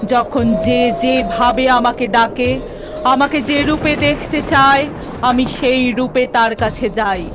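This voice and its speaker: A woman voicing a majestic, stern yet benevolent goddess in a cartoon, solemn and declamatory. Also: a little high. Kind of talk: cartoon mythological acting.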